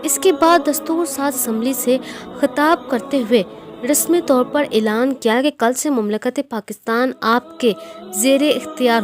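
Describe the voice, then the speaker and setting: A woman with a fairly high voice delivers scripted historical narration. Her manner is formal and declamatory, like a prepared speech. Music plays clearly behind her. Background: clear background music.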